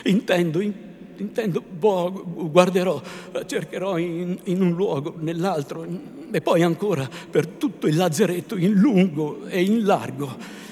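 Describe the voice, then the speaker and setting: A man voicing a desperate young man who stammers and then vows revenge loudly, recorded in an echoey hall. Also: sits high, a little slow. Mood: stammering rage. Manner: stammering, then vengeful and loud. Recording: echoey hall, faint ambience.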